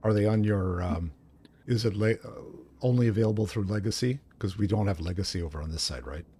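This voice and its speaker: Man, fairly low pitch, informal gameplay chat, stream co-host. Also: a little fast, mellow, slightly rough. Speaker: co-host of a game livestream. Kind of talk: casual chat while playing a video game.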